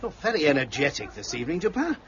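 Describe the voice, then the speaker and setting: An actor playing an earnest, deferential and honest police inspector with a rough, husky voice in a period mystery drama. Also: male voice, fairly high pitch, big pitch swings, fast talker, muffled recording, a crowd in the background. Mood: doubtful.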